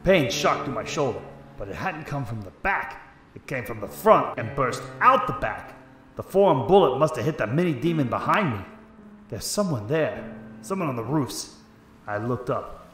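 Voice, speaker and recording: A man's voice: mid-range voice, natural voice. A man playing a hard-boiled detective, telling his story in terse, dramatic first-person noir narration. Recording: echoey room, music underneath. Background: music.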